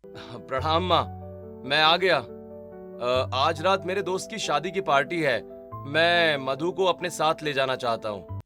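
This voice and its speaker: Guy doing a devoted indignant son in a cartoon story, highish bright voice. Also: a little slow, faint music behind. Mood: polite.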